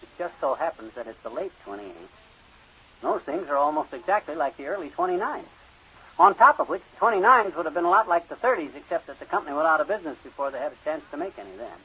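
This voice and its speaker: An actor playing a stubborn, folksy, penny-pinching husband in an old-time radio comedy, blustering and arguing. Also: male voice, fairly high pitch, animated, fast, sharp tone, husky, exaggerated voice. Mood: proud, indignant. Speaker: comic lead in a radio sitcom. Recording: dull vintage radio recording.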